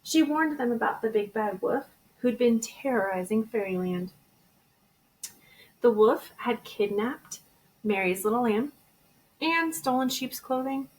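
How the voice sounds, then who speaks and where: High-pitched woman reading a storybook. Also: low-quality recording. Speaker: elementary school teacher. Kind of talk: expressive children's storybook read-aloud.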